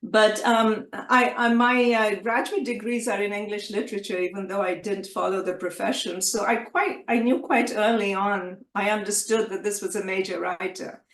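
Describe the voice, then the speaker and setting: A middle-aged woman, a native Kashmiri speaker from Kashmir, answering in an interview, talking in a measured way with many pauses, fillers and restarts. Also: mid-range voice, steady tone, roomy sound. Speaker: interview guest. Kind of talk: conversational interview.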